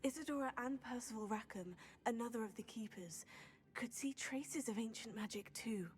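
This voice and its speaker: A woman's voice: fairly high pitch, normal speed, natural voice. A woman playing an earnest young witch student. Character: young female Gryffindor student witch, determined.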